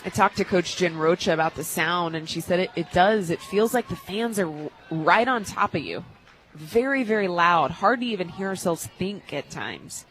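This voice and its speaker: A woman giving live softball analysis as a radio color commentator in an explanatory, conversational way. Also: on the low side, moderate pace, slight background noise, low-quality recording. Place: radio booth at a softball stadium.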